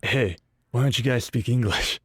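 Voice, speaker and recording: A man co-hosting a podcast, chatting casually about video games in a halting, laid-back way. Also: medium pitch, fairly bright tone, breathy edge, dry recording. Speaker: podcast co-host.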